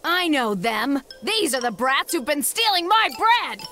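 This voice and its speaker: A woman's voice: sits high, very animated, unhurried, bright, forceful tone, faint music behind, full character voice. A woman playing an indignant, bossy bakery shopkeeper in a video game. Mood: accusing. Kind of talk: English video game voice acting.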